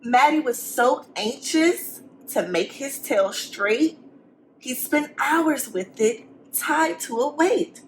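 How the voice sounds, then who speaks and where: A woman's voice: sits high, animated, takes it slowly, slight background noise, rough recording. A woman teacher reading a storybook aloud to young students, expressively, with simple encouraging questions and asides for the children.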